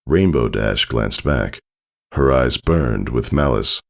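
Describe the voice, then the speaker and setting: Very deep, gravelly male story narrator. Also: animated, slow. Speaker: fan fiction narrator. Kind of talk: dramatic scripted story narration. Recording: soft music behind the voice.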